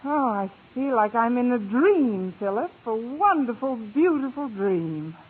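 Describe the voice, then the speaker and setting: Wry dreamy american lady teacher, old radio comedy, very expressive, tinny and noisy. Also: fairly high pitch, unhurried. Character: wry high school English teacher, woman, dreamy and romantic. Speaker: lead actress in a radio comedy. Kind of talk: vintage American radio sitcom acting.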